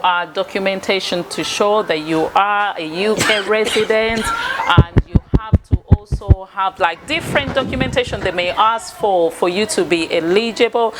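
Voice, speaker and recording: Chatty Ugandan-born woman vlogging, faint background noise. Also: mid-range voice. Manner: chatty, addresses viewers directly, repeats herself. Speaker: video host. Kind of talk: casual vlog tour commentary to camera. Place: high up on a sixth-floor block of flats. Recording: noisy ambient vlog sound.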